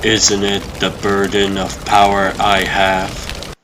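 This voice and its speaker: A man voicing an intense, calculating character in a comic dub, with a measured, formal, rhetorical delivery. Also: fairly low pitch, completely flat delivery, background noise. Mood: challenging. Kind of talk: comic dub dramatic acting.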